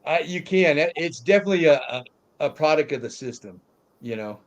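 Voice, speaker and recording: A man's voice: a little high, expressive, fairly quick. A man leading a casual film review panel, talking hesitantly and repeating himself.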